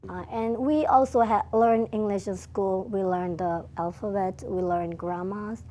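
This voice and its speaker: Soft-spoken young woman. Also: medium pitch, steady tone, unhurried, slight background noise. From Myanmar, Chin State. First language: Chin. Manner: soft-spoken, hesitant, many small fillers. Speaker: interview guest. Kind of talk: casual interview answers.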